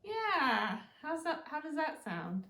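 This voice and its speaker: Woman, higher voice, unhurried, gentle children's librarian, expressive storytime delivery, echoey room. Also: vocal fry. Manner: gentle, simple, encouraging talk aimed at small children. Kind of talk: children's storytime read-aloud with questions to young listeners. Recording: roomy, echoing indoor sound.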